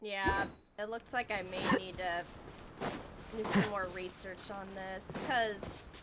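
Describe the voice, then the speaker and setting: A woman chatting casually and excitably as she streams a game, often thinking aloud and exclaiming. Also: medium pitch, slow pace, very noisy. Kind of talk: casual livestream gameplay chat. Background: video game music.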